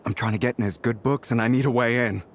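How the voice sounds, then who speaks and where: A man's voice: mid-range voice, steady tone, fast talker, a little hiss or hum, lightly acted. A man playing an eager, wheedling musician uncle in a video game.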